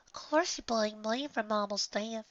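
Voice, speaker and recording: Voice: medium pitch, measured pace, no echo, lo-fi sound, pitched above the performer's own voice, natural voice. A reader voicing a resentful young filly apparition who bitterly accuses her sister, in a storybook reading. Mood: bitter accusing. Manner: bitter, accusing, rising to shouts.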